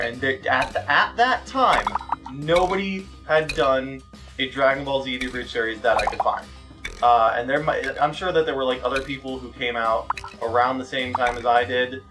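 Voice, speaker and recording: A man's voice: mid-range voice, fairly bright tone, far from the mic. A man giving rambling, profane, self-deprecating commentary while playing a video game. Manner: exclaims at the game mid-sentence. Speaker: video game let's player. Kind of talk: rambling casual gameplay commentary. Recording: music playing behind the voice. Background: music.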